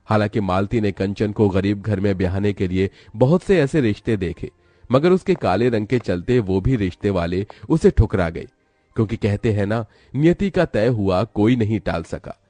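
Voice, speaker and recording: A man's voice: lowish voice, lively intonation, moderate pace, warm tone, no echo, faint music behind. A man narrating a scripted cartoon story as a storyteller.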